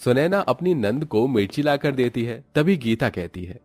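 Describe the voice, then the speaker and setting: Male storyteller with a medium-pitched voice. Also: very animated, normal speed, darkish tone, no room sound, soft background music. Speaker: narrator. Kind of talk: scripted moral story narration.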